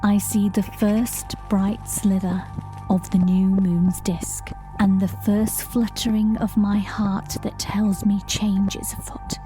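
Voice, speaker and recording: An actress playing a haunted folk singer who shapeshifts, narrating her story in a measured, lyrical, reflective way in an audio drama. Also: mid-range voice, level tone, warm tone, soft background music, lightly acted, poor audio. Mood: hushed, tense. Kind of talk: audio drama monologue, first-person narration.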